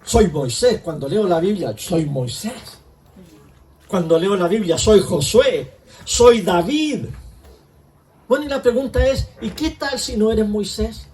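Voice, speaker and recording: High-voiced pastor preaching from afar. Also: male voice, expressive, takes it slowly, darkish tone, far from the mic. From Chile. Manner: conversational preaching, asks rhetorical questions. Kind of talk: sermon.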